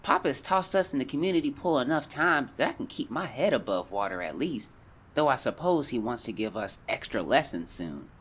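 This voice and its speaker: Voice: medium pitch, sing-song, brisk, fairly bright tone, slightly rough. An actor playing an earnest, sensitive young diarist of the 1910s, reading journal entries formally and plaintively in an audio drama. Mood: sulky, hurt. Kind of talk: audio drama diary reading, old-fashioned diction.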